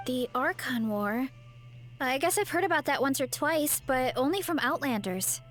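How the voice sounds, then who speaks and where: An earnest young tribeswoman in a game, talking plainly. Her voice is high, with faint music under it. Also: animated, moderate pace, fairly bright tone. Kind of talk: game voice acting.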